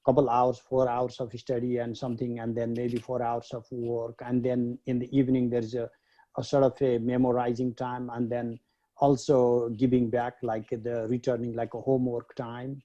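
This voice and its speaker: Dark-voiced man from Nepal, unhurried. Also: medium pitch, completely flat delivery, dull audio. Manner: unhurried, many pauses and fillers. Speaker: guest scholar. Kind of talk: reflective interview talk.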